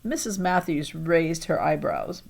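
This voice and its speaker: A woman's voice: low-pitched, unhurried, vocal fry. A woman narrating a children's novel in the first person with expression, occasionally stumbling and re-reading words. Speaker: audiobook reader.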